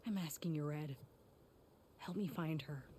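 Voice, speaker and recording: A woman's voice: sits low, a little slow, dark tone, slight background noise. An actress playing a worried, guilt-ridden female tinkerer in a video game, speaking in an earnest, wry, conversational way. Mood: anxious, pleading. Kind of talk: video game character acting. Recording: faint music behind the voice.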